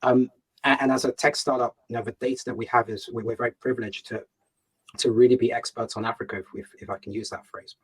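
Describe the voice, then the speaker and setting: Man, Ga, founder guest on a video call; husky, earnest, repetitive, emphatic. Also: medium pitch, little pitch movement, fast talker, poor audio. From United Kingdom. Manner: earnest, emphatic, repeats words, asks himself questions. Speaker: startup founder and CEO, guest. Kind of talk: fireside chat on a video call.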